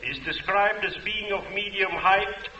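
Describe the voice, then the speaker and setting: An actor playing a stern military announcer, declaiming formally to villagers in a 1940s radio drama, on a noisy, echoing old recording. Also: male voice, sits high, moderate pace, pushed and bright, far from the mic, lo-fi sound. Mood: stern, official.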